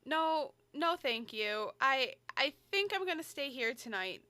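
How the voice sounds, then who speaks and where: A woman's voice: high voice, lively intonation, measured pace, pushed and bright, heavily acted. A woman acting in an audio drama as a firm, dutiful middle-aged mother and congresswoman, authoritative yet motherly. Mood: weary.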